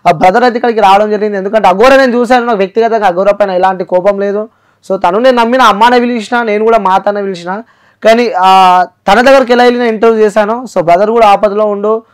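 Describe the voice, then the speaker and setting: Guy hosting an interview, telangana accent, talks really quick in a high voice, keeps explaining and repeating. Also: fairly even intonation, clear, resonant tone. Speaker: interview host.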